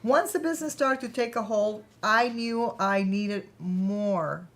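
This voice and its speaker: A woman's voice: mid-range voice, unhurried, fairly bright tone. A woman playing a proud, ambitious, chatty founder of her own company in a staged play reading. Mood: enthusiastic, candid.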